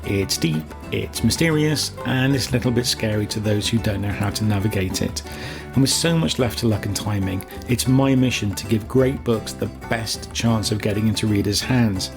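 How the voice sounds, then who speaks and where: A man's voice: fairly low pitch, moderate pace, gravelly, music in the background. A man with a British accent presenting a scripted writing lesson as podcast host, with chatty asides. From Essex, England.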